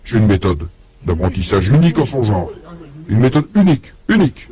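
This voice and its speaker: A man's voice: lowish voice, very animated, brisk, dark tone. Man giving a storytelling religious lecture. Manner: storytelling, acts out both sides of a dialogue; addresses the audience directly with questions. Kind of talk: lecture to a live audience.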